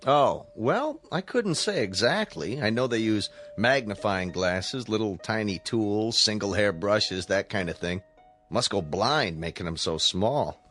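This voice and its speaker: Folksy no-nonsense guard, radio drama, casual delivery, lively pitch, faint music. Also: male voice, a little slow. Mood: friendly, admiring. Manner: casual, plain-spoken, clipped phrases. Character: chatty, folksy, no-nonsense male museum guard.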